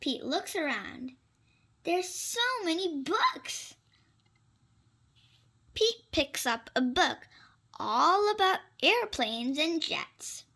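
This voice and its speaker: High voice, creaky edges, lively swoops, children's storybook read-aloud, expressive asides to young listeners. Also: drawn-out words, pauses often. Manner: animated, simple exclamations and asides to young listeners. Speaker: storybook reader.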